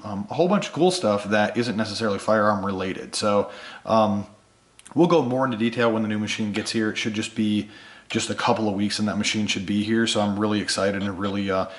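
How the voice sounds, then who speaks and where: Low-voiced man, under the weather, echoey room. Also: a little hiss or hum. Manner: rambling, appreciative of viewers. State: voice worn from being sick, starting to give out. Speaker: small engraving business owner making videos for followers. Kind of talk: casual vlog talk to subscribers.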